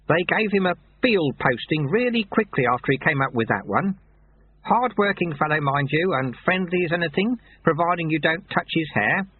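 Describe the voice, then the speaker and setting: A man voicing a cheerful, amiable old male temple sweeper who talks in an unhurried, gently teasing way. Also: on the high side, breathy edge, pitched above the performer's own voice. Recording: tinny phone-like sound.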